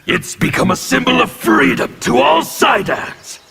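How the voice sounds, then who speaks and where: An actor playing a menacing male anarchist warrior in a video game, with scornful, threatening delivery and a gravelly voice. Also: very high-pitched, very animated, unhurried, loud music behind the voice, full character voice. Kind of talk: video game intro dialogue.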